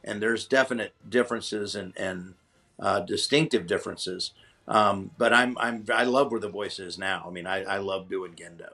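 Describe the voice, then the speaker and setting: A man voice actor talking in a halting way about how his character voice has evolved. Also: fairly low pitch, steady tone, pushed and bright. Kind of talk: interview recollection.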